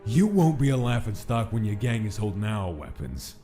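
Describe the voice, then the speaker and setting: A man acts out a scheming monster gangster for a comic dub. The voice is mellow and animated, smooth with false courtesy, over faint music. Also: on the low side, moderate pace. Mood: smug, falsely polite. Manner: smooth-talking, falsely polite. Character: scheming skeleton gangster monster feigning friendliness. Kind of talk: comic dub character acting.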